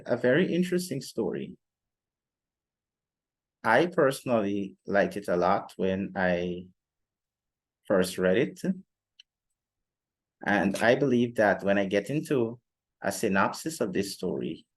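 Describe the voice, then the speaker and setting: A young man giving an explanatory lecture in a formal, deliberate manner, pausing often between phrases. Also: fairly low pitch, sing-song. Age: young adult. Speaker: literature teacher presenting a lesson. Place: indoor room, seated before a plain wall.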